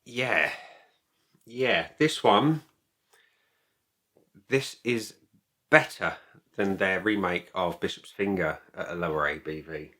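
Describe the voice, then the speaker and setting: Deep-voiced man, bright edge, reviewing casually. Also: slow, long pauses. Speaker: beer reviewer and video host. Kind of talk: casual product review to camera.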